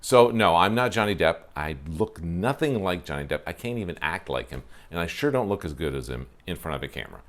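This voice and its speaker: A man's voice: low voice, lively intonation, fairly quick, few pauses. A man, hosting a wine show in a casual, self-deprecating way and talking to camera on a porch through a lapel mic. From Ontario, Canada. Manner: chatty, self-deprecating, frequent fillers. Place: covered porch, outdoors.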